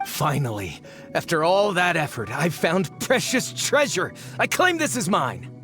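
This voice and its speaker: Pushy, money-hungry adventurer, fairly high, very animated, game dialogue. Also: male voice, measured pace, faint music behind. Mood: brash, greedy. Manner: brash, dismissive. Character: greedy male treasure hunter, brash and impatient. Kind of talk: video game voice acting. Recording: game score playing faintly.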